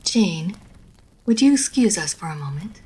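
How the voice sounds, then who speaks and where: A woman voicing a refined, proud lady vampire who is easily flustered, speaking formally and with measured care in an audio roleplay. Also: on the low side, lively intonation, a little mellow, a little hiss or hum. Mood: courteous, composed. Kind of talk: scripted audio roleplay addressed to the listener.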